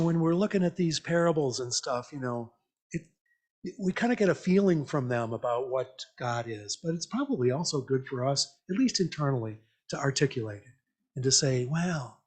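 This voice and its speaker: Higher-pitched man giving an informal church message. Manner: conversational, reflective, halting with repeated words; invites listeners with questions. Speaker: lay church speaker.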